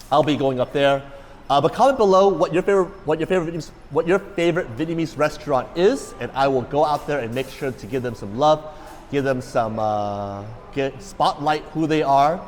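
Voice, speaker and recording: A man's voice: fairly high pitch, long reverb tail, slight background noise. A man, a food vlogger chatting casually and jokingly in a restaurant, rambling and repeating himself. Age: 40s. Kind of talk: casual chat. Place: busy restaurant.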